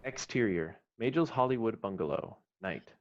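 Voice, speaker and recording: A man's voice: fairly low pitch, lively intonation, moderate pace. A man reading scripted stage directions as narrator for an online screenplay table read. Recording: dull lo-fi online call audio.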